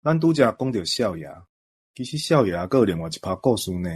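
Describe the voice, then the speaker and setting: A man's voice: fairly low pitch, sing-song, brisk, darkish tone, slightly rough. A man with a Taiwanese accent talking conversationally as a co-host. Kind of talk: conversational discussion.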